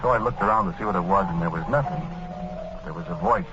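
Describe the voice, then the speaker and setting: A man's voice: on the low side, big pitch swings, fast talker, creaky, music in the background. An actor playing an aggrieved, befuddled murdered man who tells his story matter-of-factly and colloquially in a 1940s radio drama. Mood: aggrieved, puzzled. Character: aggrieved, plain-spoken murdered man, now a befuddled ghost. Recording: slightly muffled old radio broadcast.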